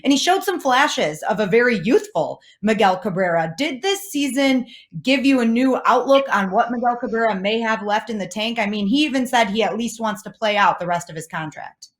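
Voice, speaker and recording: A woman's voice: fairly high pitch, expressive, normal speed, few pauses. A woman hosting a sports interview, putting questions to her guest in a conversational way.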